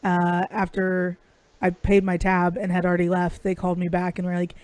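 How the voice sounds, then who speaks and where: Woman, raspy, swears freely, dry close sound, chatty storyteller. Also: on the low side, monotone, moderate pace, darkish tone, dry recording. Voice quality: raspy today. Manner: profane, storytelling. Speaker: podcast co-host. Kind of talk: casual chat.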